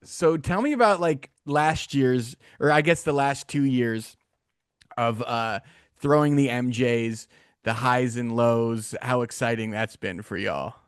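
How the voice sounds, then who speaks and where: A man hosts a podcast in a loose, joking way, with slangy, informal phrasing. His voice sits at a medium pitch, and the sound is dry with little room in it. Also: takes it slowly, clear, resonant tone, no room sound. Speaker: podcast host. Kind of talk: casual interview chat.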